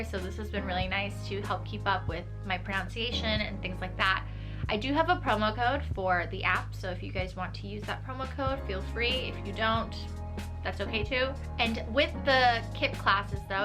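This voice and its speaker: A young woman, talking casually to camera from her bedroom, often saying "like" and laughing lightly. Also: mid-range voice. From New York. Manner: chatty, uses "like" a lot, laughs lightly. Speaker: vlogger. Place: small bedroom with desk and tapestry, indoors.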